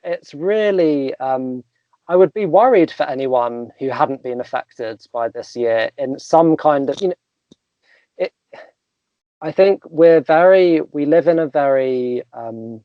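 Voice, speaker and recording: A young man, a coach guest in an interview, talking thoughtfully with many pauses, fillers and restarts. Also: a little high, no room sound, highs cut off. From England. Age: young adult. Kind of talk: casual interview chat.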